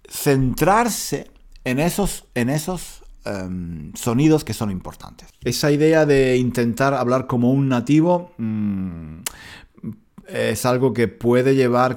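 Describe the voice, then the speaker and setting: Hombre, profesor de español, tono animado. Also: male voice, medium pitch. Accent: Spanish (Spain). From Spain. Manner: animated, repeats key points, many fillers. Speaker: Spanish teacher. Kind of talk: spoken lesson to learners.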